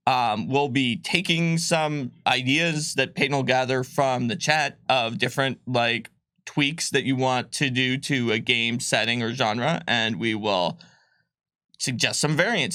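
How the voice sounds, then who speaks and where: A man's voice: mid-range voice, fairly bright tone, no room sound, clean studio sound. A man co-hosting a livestream, explaining game rules in a loose, rambling way with frequent ums and self-corrections. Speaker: co-host presenting game rules. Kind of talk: casual livestream chat.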